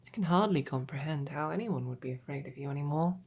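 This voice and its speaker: A woman playing a devoted, tenderly teasing royal knight in an intimate roleplay audio, with breathy, often whispered, courtly speech. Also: low-pitched, darkish tone. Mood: teasing, affectionate. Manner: slow, tender, courtly phrasing.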